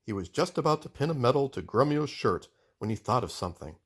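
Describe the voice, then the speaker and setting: A man narrating a children's book aloud in an expressive storytelling style. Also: medium pitch, fast talker, husky.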